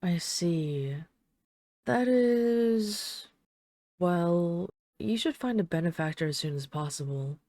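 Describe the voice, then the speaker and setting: Low, mellow flirtatious vampire lady played by a woman. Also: lively intonation, no echo, studio quality. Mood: probing, matter-of-fact. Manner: teasing, coaxing, deliberate with lingering pauses. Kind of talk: intimate audio roleplay addressed to the listener.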